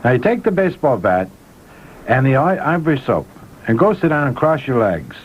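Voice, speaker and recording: A man's voice: mid-range voice, very animated, normal speed. A man improvising comic banter with a caller as a radio guest, rambling in a mock-earnest way on a lo-fi broadcast recording. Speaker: comedian co-hosting a radio show. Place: radio studio. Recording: dull lo-fi AM radio recording, faint noise.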